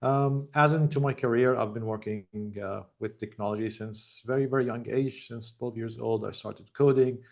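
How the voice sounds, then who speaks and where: A middle-aged man from Jordan, answering interview questions over a video call in a measured, reflective way with frequent ums. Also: medium pitch, steady tone, gravelly, darkish tone. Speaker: tech founder guest.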